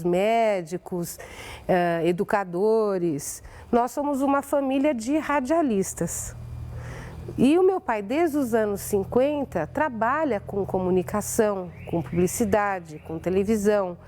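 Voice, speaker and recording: A Brazilian woman speaks in an interview style. Her voice is mellow and mid-pitched, and she takes her time, halting and pausing to stress her words. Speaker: radio station executive. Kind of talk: interview reminiscence.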